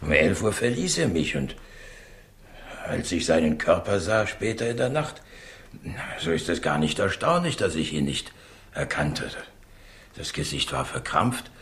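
An actor playing a frail, courteous old professor in a 1960s radio crime drama, speaking in a halting, patronizing way. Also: male voice, low-pitched, very animated, fairly bright tone, full character voice. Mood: evasive. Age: older.

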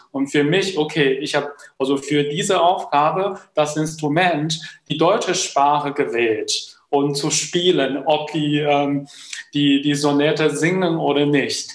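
A man in his thirties speaks as a guest on a video call, slowly and deliberately. His voice sits a little high. The small room around him echoes noticeably. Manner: slow, measured, deliberate. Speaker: poet and translator, guest.

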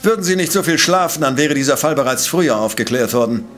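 An actor playing an arrogant, brilliant professor detective in an audio drama, speaking in a pompous, precise, lecturing way. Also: male voice, mid-range voice, animated, quick pace, a bit bright, soft background music, lightly acted. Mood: scornful. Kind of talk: German audio drama acting.

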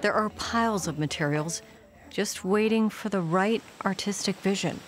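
A woman reading scripted documentary narration in a measured, polished voice-over. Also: mid-range voice, background noise. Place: studio voice-over. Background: background music.

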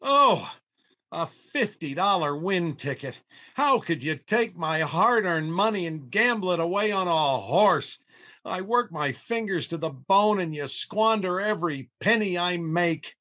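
An exasperated, long-suffering older family man scolds theatrically, played by a male actor. He drags out his words with big pitch swings, in a slightly dull old-time radio comedy recording. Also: lively intonation, measured pace, sharp tone, exaggerated voice. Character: exasperated, long-suffering grumbling husband and father.

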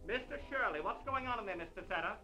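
Very high, fussy, timid busybody. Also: male voice, animated, quick pace, low-quality recording. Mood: indignant, nosy. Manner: prissy, dithering, stammering. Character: fussy, timid, flustered busybody male neighbor. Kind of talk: 1950s radio comic character acting. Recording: old radio recording with music behind.

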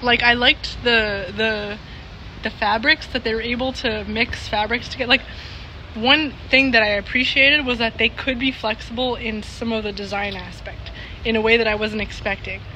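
A young woman vlogger with a fairly high voice talks informally to the camera. Her delivery is emphatic and expressive, and the phone recording outdoors has clear background noise. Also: moderate pace, low-quality recording. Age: young adult. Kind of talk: casual chat to camera. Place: outdoors on a covered patio. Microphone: phone at arm's length.

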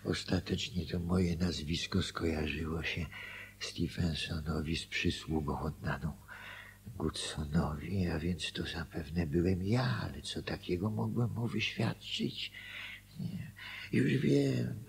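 An actor playing a self-deceiving husband in a Polish radio drama, thinking aloud, hesitant and anxious to justify himself. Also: male voice, low-pitched, slow pace, creaky, a little hiss or hum, exaggerated voice. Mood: musing, hopeful.

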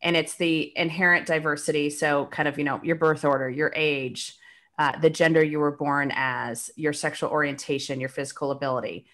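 A woman in her forties co-hosting a podcast from home, walking through a slide in a steady, explanatory way with plenty of fillers. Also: deep voice, moderate pace. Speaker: podcast co-host. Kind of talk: casual conversation and explaining a slide. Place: home living room indoors. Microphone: desk microphone on a video call.

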